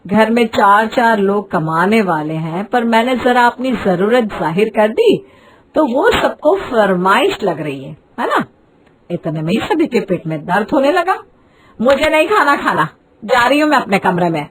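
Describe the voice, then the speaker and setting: A woman's voice: fairly high pitch, sing-song, measured pace, poor audio. A woman voicing a boastful, dramatic middle-aged Indian mother-in-law in a cartoon moral story. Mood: sulky, wheedling. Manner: haughty, dramatic, wheedling.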